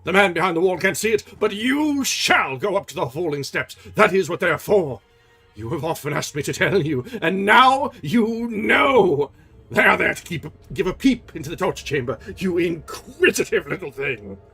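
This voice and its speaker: A man voicing a furious, menacing monster of a man in a loud, snarling voice for a storybook reading. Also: on the high side, big pitch swings, a little slow, slightly rough, faint music behind, voice pushed up, exaggerated voice. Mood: gloating. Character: furious menacing male monster, jealous captor.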